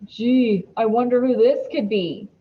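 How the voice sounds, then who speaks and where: Woman acting a loud, sneering grumpy schoolboy. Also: fairly high pitch, unhurried, breathy edge, muffled recording. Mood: showy, smug. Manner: loud, scornful, overdramatic.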